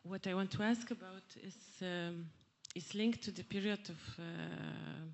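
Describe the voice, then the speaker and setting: Woman, slow, hesitant public question, lowish voice. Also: creaky. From Poland. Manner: halting, many fillers and restarts. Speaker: audience member asking a question. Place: theatre hall at a public event.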